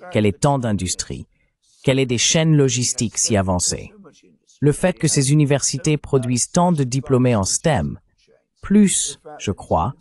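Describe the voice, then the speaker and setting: A man's voice: mid-range voice, a little mellow. A man's AI-generated voice reading a dubbed commentary in an emphatic, argumentative way.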